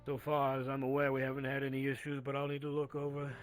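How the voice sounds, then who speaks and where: A man's voice: medium pitch, completely flat delivery, lightly acted. Man playing a hesitant fantasy surgeon, music behind. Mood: deferential. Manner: hesitant, polite, halting. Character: deferential, anxious military surgeon in dark fantasy. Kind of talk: live improvised roleplay acting.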